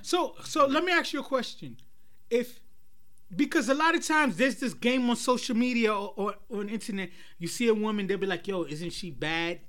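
A young man hosting a casual group chat, animated and asking the panel questions. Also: sits high, normal speed. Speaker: podcast host.

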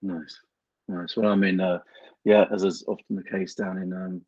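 A man's voice: sits low, flat delivery, breathy edge, dry recording. A man hosting an interview podcast over a remote call, chatting casually and often restarting his sentences. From England. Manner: halting, many restarts and fillers. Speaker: podcast host. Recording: dull lo-fi remote call audio.

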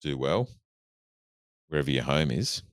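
A man's voice: extremely low pitch, lively intonation, unhurried, dry recording, studio quality. A man, co-hosting a casual podcast chat in an easygoing way. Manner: easygoing, reads out figures. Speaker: podcast co-host.

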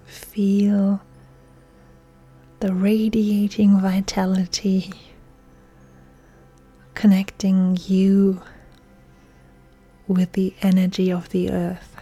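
A woman yoga instructor leading a guided relaxation in a soft, breathy, soothing, hushed voice that often nears a whisper. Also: mid-range voice, monotone, extremely slow, pauses often, faint music behind.

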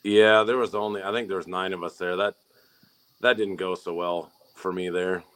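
A man's voice: deep voice, fairly even intonation, moderate pace, fairly bright tone, smooth. A laid-back man chatting casually as a guest about driving his pulling tractor, with dry humor. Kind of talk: casual chat.

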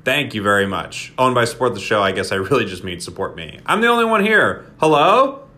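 A man's voice: medium pitch, very animated, brisk, sharp tone. A young man hosting a comedy podcast, joking playfully and reading a post aloud. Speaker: podcast host. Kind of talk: casual comedic podcast chat.

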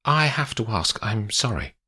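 Man voicing a composed woman lawyer, lifted pitch. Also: very animated, bright, forceful tone, husky, full character voice. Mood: firm, tactful. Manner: measured, precise, polite. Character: calm, professional woman lawyer, tactful but direct.

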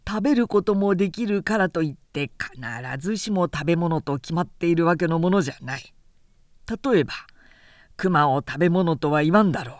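A woman's voice: on the low side, normal speed, dry recording, pitched below the performer's own voice, close to an everyday voice. A woman voicing a garrulous, self-important middle-aged samurai man who lectures at length in a storybook reading. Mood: scornful lecturing. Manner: long-winded, pompous, lecturing.

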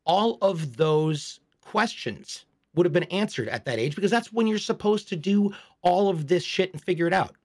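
A man in his forties talks openly and thoughtfully on a casual podcast. His voice sits a little high for a man. He takes his time and reflects as he tells his story. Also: lively intonation, moderate pace. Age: 40s. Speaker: podcast co-host. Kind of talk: casual chat.

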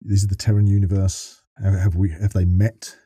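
Very bright-voiced man hosting a podcast. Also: low-pitched, level tone, moderate pace, breathy edge, dry recording, studio quality. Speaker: podcast co-host and interviewer. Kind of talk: casual podcast interview and chat.

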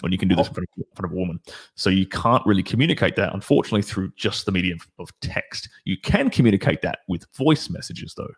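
A deep, low-voiced man with a husky, slightly rough edge talks with sure confidence as a guest dating coach. He rambles in casual chat and restarts sentences, but keeps a self-assured drive. His tone stays low and grainy throughout. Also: lively intonation, fast talker.

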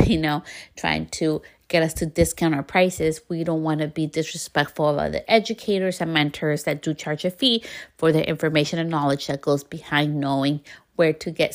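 A woman with a Spanish accent hosting a podcast, giving an earnest, emphatic motivational talk. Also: deep voice. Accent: Spanish-accented English. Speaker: podcast host. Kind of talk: motivational monologue.

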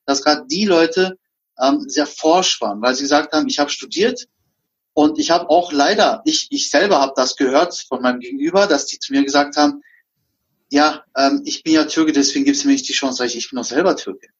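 A man's voice: fairly high pitch, a little fast, breathy edge. A man from Berlin in a casual conversation, telling work stories and acting out the dialogue. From Berlin, Germany. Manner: anecdotal, acts out dialogue. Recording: dull lo-fi sound.

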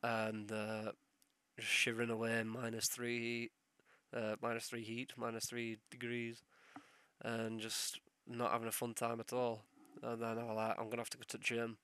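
A man's voice: medium pitch, monotone, moderate pace, pushed and bright, clean tone, dry recording. A young man hosting a solo podcast while ill, with a sore throat and sniffles, rambling and swearing as he loses his train of thought. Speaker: podcast host.

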